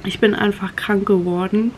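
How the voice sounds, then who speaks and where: A woman's voice: mid-range voice, steady tone, moderate pace. A young woman in her twenties talking casually to a handheld camera at home, a bit congested from a cold, upbeat and chatty. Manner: chatty, upbeat, mixes in English words. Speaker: vlogger. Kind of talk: casual vlog chat to camera. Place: small room at home. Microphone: handheld compact camera at arm's length.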